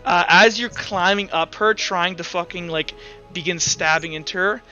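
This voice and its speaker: A man's voice: sits high, normal speed, bright, forceful tone. A man improvising narration as game master of a tabletop game, casual and profane, thinking aloud as he goes. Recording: dull lo-fi mic, music behind. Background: background music.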